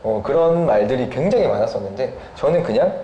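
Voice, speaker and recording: A man's voice: mid-range voice, lively intonation, quick pace, dark tone. A young man answering questions as an interview guest in a casual, conversational way. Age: young adult. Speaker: interviewed guest, software developer and startup founder. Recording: echoey room with background noise.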